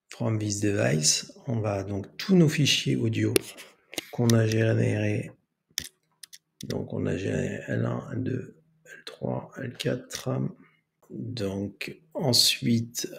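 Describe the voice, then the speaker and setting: Slow, gravelly man giving a tutorial. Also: mid-range voice. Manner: hesitant, narrating each step aloud. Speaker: tutorial host. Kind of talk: screen tutorial commentary, improvised.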